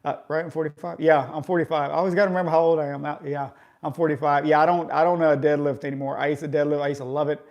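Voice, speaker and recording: A man's voice: on the high side, little pitch movement, rapid-fire, dull audio. Chatty middle-aged man coaching viewers. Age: 40s. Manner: conversational, coaching, often tags remarks with "right?". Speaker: fitness vlogger. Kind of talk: casual live chat with viewers.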